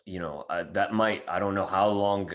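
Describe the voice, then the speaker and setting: A man from Syria hosts a podcast conversation and thinks aloud in halting, reflective phrases that he often restarts. His voice sits a little low. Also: steady tone. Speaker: podcast host. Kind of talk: podcast interview.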